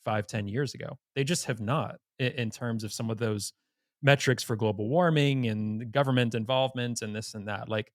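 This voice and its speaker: A man's voice: medium pitch, steady tone, moderate pace, dry recording, clean studio sound. A man hosting a podcast in an informal, blunt conversational manner. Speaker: podcast host. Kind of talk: conversational interview.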